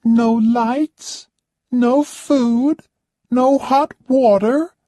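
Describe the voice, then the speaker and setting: A reader voicing a spoiled, demanding woman who complains shrilly and loudly. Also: medium pitch, fairly even intonation, drawn-out words, no echo, pitched above the performer's own voice. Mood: indignant.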